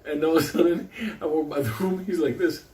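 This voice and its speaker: A man's voice: on the high side, steady tone, fast, dark tone. Puerto Rican man, Spanish native, hosts his own show, rambles and swears, laughs a lot, echoey room with faint hiss. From Puerto Rico. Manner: rowdy, laughs often, swears constantly. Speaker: show host. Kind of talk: casual profane storytelling monologue.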